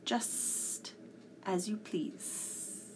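A woman voicing a sly, falsely friendly male cat in a storybook, with breathy, coaxing politeness. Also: very slow, slight background noise, low-quality recording. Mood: languid.

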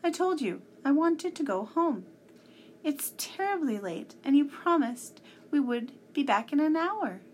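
A woman voicing a headstrong, indignant little girl in a storybook, speaking in sharp, scolding bursts. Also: medium pitch, sing-song, measured pace, a little hiss or hum. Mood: angry.

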